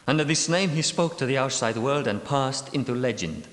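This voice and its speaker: In a cavernous, echoing space, an actor voices a cunning Grail knight for a video game. He speaks in formal, old-fashioned phrases with expressive swings of pitch, a timid man who is secretly scheming. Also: close to an everyday voice. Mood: grave, wary. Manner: formal, archaic, measured diction. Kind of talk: theatrical video game character dialogue. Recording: echoey hall with long reverb.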